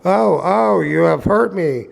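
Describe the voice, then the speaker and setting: Man putting on a tiny, high, pleading wooden voice. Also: animated, slow, gravelly, exaggerated voice. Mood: doleful. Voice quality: small, thin. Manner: pleading, doleful. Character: tiny imploring childlike voice from a piece of wood. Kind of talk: storybook character voice.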